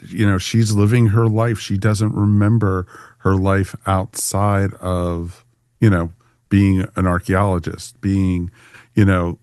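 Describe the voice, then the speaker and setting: A man with a very deep voice chats as a podcast panel host. The sound is dry and close, and his delivery is casual and conversational, as in a relaxed group review. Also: dry recording. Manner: conversational, punctuated with "you know". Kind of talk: casual discussion, reviewing a story.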